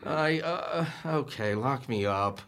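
Man playing a bright-voiced, stammering young suitor. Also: mid-range voice, animated, slow pace, gravelly, full character voice. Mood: indignant, flustered. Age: young adult. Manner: stammering, naive, slangy. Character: nervous, lovestruck, boastful twenty-one-year-old suitor. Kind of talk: old-time radio comedy acting.

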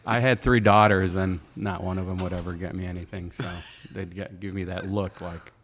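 Casting: middle-aged or older man, low register, relaxed conversational guest, reverberant room recording. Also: normal speed. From born in Czechoslovakia, moved to America at six. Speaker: podcast guest. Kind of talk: casual chat. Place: club podcast studio with car theme.

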